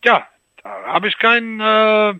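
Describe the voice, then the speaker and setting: A man's voice: sits high, a little slow, clear, resonant tone, dry recording, dull audio. A man working as a phone operator, talking in a service style with wry, teasing remarks. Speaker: car company phone operator.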